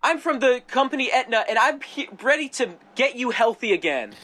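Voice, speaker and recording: A man doing an eager insurance pitchman. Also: expressive, a little fast, pushed and bright, full character voice. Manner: eager sales pitch, stumbling over a name. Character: eager salesman for an insurance firm, male. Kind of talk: improv comedy scene.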